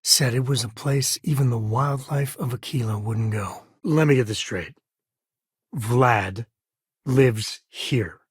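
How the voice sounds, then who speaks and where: An actor playing an easygoing, wry spacefaring cowboy and devoted father in a video game, with a husky voice and folksy, drawling, dryly sarcastic phrasing. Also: mid-range voice, slow, no echo, studio quality. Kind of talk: video game character dialogue.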